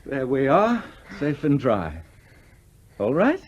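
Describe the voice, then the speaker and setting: An actor playing a charming, idle English gentleman in a 1970s radio play, suave and teasing with small hesitations. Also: medium pitch, wildly swooping pitch, takes it slowly, lo-fi sound, a little hiss or hum. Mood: brisk, urging. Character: charming, flirtatious, idle well-off English gentleman, restlessly bored.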